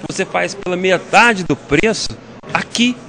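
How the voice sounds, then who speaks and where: A man in his forties with a Brazilian Portuguese accent, a councilman giving a quick, insistent interview answer in a council chamber into a handheld mic. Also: high voice, vocal fry, pushed and bright. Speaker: city councilman. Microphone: handheld reporter mic.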